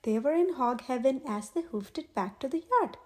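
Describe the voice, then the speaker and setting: A woman's voice: high voice, animated, normal speed. A woman reading a children's storybook aloud as a scripted narrator.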